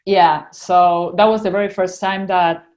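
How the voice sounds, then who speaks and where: A woman's voice: on the low side, fairly even intonation, unhurried, a little mellow. A young woman with an Argentinian accent, telling her story as a podcast guest in casual conversation, full of fillers and restarts. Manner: chatty, many fillers and restarts.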